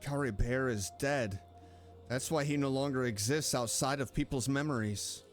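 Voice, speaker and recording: Mid-pitched man reacting over game music. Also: normal speed. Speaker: streamer. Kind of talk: casual game playthrough commentary.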